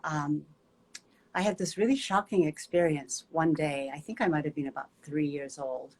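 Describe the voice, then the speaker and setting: A woman, a guest movement teacher telling a personal story in a gentle, reflective way with many pauses. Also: sits low, steady tone. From Japan.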